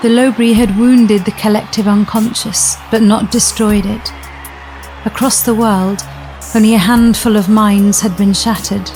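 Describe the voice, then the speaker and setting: An actress playing a solemn alien granddaughter, narrating an audio drama in a measured, storytelling way. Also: female voice, medium pitch, steady tone, darkish tone, soft background music, natural voice, low-quality recording. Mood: grave. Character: solemn granddaughter of an alien time traveller, recounting tragedy.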